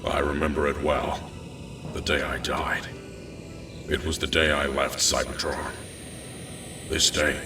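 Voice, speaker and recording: Robot war leader, male actor: bottom-of-the-range pitch, grave and weary audio-drama oratory, slightly distant over clear music. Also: little pitch movement. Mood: reflective, resigned. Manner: solemn, measured, oratorical.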